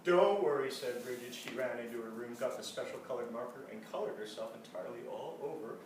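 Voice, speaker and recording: A man reading a children's storybook aloud in an animated style, with casual asides. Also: medium pitch, quick pace. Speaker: storybook reader. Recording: echoey room, distant mic, faint noise.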